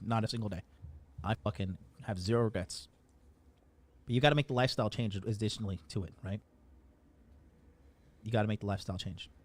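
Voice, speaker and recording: Blunt, profane man lecturing. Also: fairly low pitch. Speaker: commentator. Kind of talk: casual commentary.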